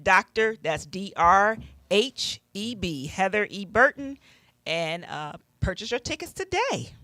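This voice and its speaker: A woman with a bright, projecting voice in a dry-sounding space gives a conversational on-air announcement. She sounds like an encouraging panelist, repeating key phrases for emphasis as she promotes an event. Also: mid-range voice, big pitch swings, a little slow, no room sound. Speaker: ministry leader and radio panelist promoting an event.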